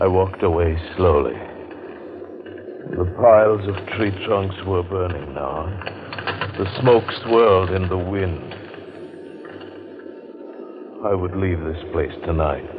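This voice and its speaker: Haunted, remorseful man, deep and unhurried, hesitant, vintage radio play sound. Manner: hesitant, halting, repeats himself. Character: anguished, guilt-ridden man destroying a sacred grove. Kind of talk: old-time radio drama acting and first-person narration. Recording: muffled, tinny vintage radio recording.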